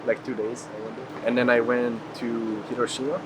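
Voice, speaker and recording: Casting: male traveler from Hungary, casual rambling interviewee, mid pitch, clear ambient noise. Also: level tone, brisk. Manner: frequent "like" filler, rambling. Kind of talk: casual chat.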